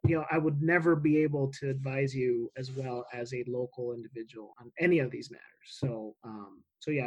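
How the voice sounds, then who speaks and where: A man from Mexico whose first language is Spanish, speaking in a podcast interview with a Mexican accent, earnest and full of restarts and fillers. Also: mid-range voice. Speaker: tax advisor guest.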